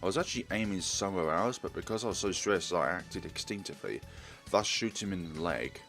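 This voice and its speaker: A man's voice: low voice, animated, brisk, vocal fry, a bit bright. A man hosting a let's play, reading a visual novel aloud with casual commentary. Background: music.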